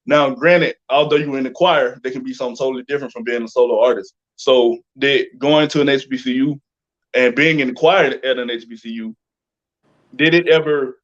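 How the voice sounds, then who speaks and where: A man's voice: medium pitch, brisk, a bit bright, muffled recording. A man hosting a casual interview, asking informal questions in a rambling, roundabout way. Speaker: interview host.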